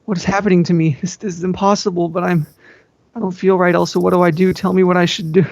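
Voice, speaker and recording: A man's voice: high voice, little pitch movement, fast, creaky, darkish tone, dry recording, pitched above the performer's own voice. A man voicing a bewildered, lovesick young woman in an amateur story reading, pleading and melodramatic. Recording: dull, dry lo-fi home recording.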